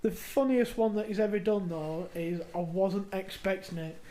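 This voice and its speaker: A podcast co-host telling a workplace anecdote in a lively, casual way, with plenty of swearing. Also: mid-range voice, moderate pace. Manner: animated storyteller, swears freely.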